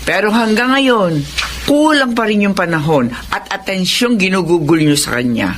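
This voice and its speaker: A woman's voice: mid-range voice, expressive, moderate pace, close to an everyday voice. A woman playing a stern grandmother who lectures and reproaches her family in a radio drama. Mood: scolding. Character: stern, scolding grandmother, protective of eldest grandson. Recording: faint background noise.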